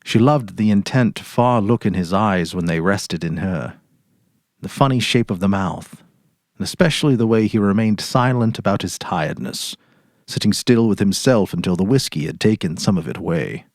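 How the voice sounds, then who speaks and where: A man narrating a literary short story dramatically, in a measured, deliberate way. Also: low voice, expressive, darkish tone, dry recording, studio quality.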